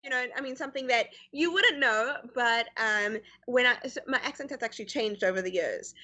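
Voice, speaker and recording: A woman's voice: fairly high pitch, a little fast. A middle-aged woman from South Africa with a mixed American and Canadian accent, chatting as an interview guest with many fillers over a video call. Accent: mix of American and Canadian. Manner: chatty, many fillers, lively quoted voices. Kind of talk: casual interview chat.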